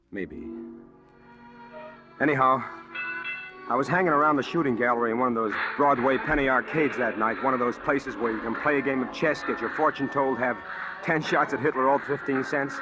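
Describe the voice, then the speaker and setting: A man's voice: mid-range voice, natural voice, rough recording. Man as lonely, brooding guy over music. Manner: plainspoken, brooding, hesitant. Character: lonely, wistful, awkward city guy, drifting and homesick. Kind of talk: 1940s radio drama acting, first-person narration. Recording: old 1940s radio broadcast recording.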